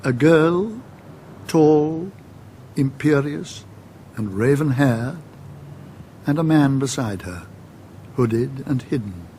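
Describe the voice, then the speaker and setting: An actor playing a solemn storyteller, giving literary narration. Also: male voice, mid-range voice, expressive, slow, long pauses, dark tone, slight background noise. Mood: hushed wonder. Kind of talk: literary scripted narration in radio drama.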